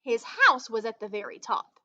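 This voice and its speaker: A woman's voice: on the high side, very animated, normal speed, bright, forceful tone, highs cut off. A woman reading a children's story aloud in a teacherly way, stopping to put questions to her young listeners. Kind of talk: expressive children's read-aloud with asides and questions.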